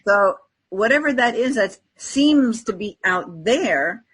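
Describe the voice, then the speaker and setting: A woman with a strongly husky voice at a medium pitch speaks in an unscripted, conversational way. She sounds like a reflective spiritual teacher on a talk show, often acting out little bits of inner self-talk. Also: animated, slow pace.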